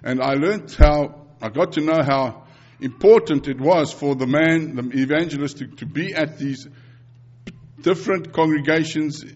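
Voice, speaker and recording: A man's voice: fairly high pitch, unhurried, a little mellow, lo-fi sound. Earnest South African preacher in his fifties. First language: Afrikaans. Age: 50s. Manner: earnest, repeats phrases, frequent restarts. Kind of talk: sermon and mission report.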